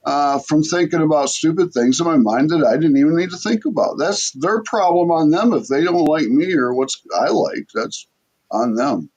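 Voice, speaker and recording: A man hosting an interview, chatting in a relaxed and encouraging way. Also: fairly high pitch, normal speed, husky, poor audio. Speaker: interviewer host. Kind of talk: casual interview chat.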